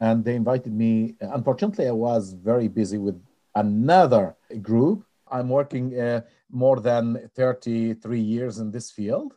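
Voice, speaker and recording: A middle-aged or older man from Israel with a Middle Eastern accent, a non-native English speaker answering interview questions earnestly and haltingly. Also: medium pitch, animated, dark tone, lo-fi sound. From Nazareth, Israel. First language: not English. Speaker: interview guest, director of a peace organization.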